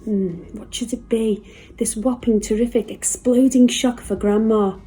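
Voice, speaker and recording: Mellow woman reading a children's storybook. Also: fairly high pitch, fairly even intonation, unhurried, husky. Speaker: school staff member reading to children.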